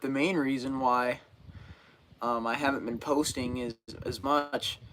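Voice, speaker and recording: A man's voice: medium pitch, measured pace, a bit bright. Teenage guy rambling on a live stream. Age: teens. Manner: rambling, many fillers and restarts. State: getting over a cold, sniffling. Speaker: fishing channel host. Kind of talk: casual live stream chat.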